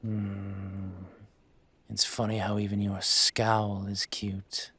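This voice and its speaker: Give the part of a dragon mafia boss to a man acting it out in an intimate audio drama. His voice sits fairly low and he speaks very slowly, measured and suave. He is patient, condescending and quietly menacing, with a teasing edge, in a dry, echo-free recording. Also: monotone, slightly rough, heavily acted. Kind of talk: scripted audio roleplay, intimate.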